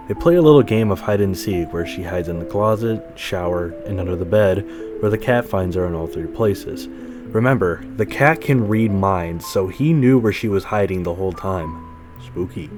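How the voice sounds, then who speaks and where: Clear music runs behind a man with a fairly low voice. He delivers a scripted story recap as a podcast host, dropping in casual, wry commentary along the way. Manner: informal, wry asides.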